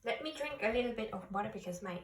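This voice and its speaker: A woman giving a pick-a-card tarot reading in a gentle, reassuring way, speaking English as a second language. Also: on the high side, lively intonation, racing, recorded from a distance, slight background noise. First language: not English. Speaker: tarot reader.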